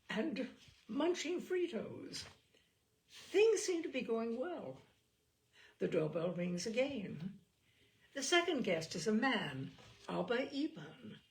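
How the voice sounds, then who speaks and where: A woman hosting a reading series, speaking in an expressive, deliberate, theatrical storytelling style. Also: mid-range voice, gravelly. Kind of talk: expressive literary reading with chatty introduction.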